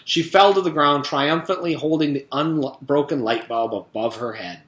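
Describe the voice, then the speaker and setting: A man's voice: fairly high pitch, moderate pace, fairly bright tone, echoey room. A man reading a children's storybook aloud like a teacher, expressively and speaking directly to young listeners.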